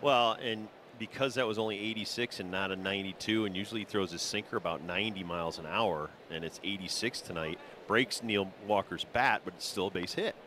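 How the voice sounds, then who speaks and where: Male color commentator, fairly low voice, conversational, explanatory, live baseball analysis, light background noise. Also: a little fast, few pauses, pushed and bright.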